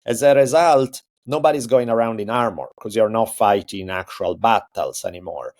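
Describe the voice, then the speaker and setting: A man's voice: on the low side, normal speed, darkish tone. A man, a history podcaster guest, explaining at length in a casual interview with thoughtful restarts.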